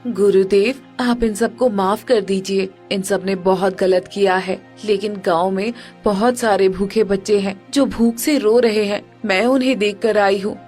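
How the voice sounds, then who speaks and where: A woman's voice: medium pitch, fairly even intonation, unhurried, few pauses, darkish tone, soft background music, natural voice. A woman playing a sage's principled, grieving disciple, firm and earnest, in a Hindi cartoon moral story. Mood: pleading.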